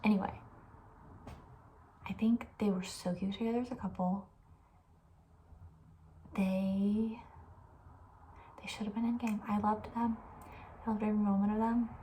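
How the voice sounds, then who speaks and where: A soft-spoken woman host chatting casually in an ASMR style, often near a whisper, informal and thinking aloud. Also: mid-range voice, flat delivery, a little slow, long pauses, slight background noise.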